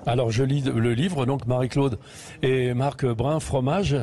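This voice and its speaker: A man hosting a live radio interview from a museum, chatty and quick with short replies. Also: medium pitch, fairly even intonation, dark tone. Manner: chatty, frequent short backchannels. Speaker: radio host.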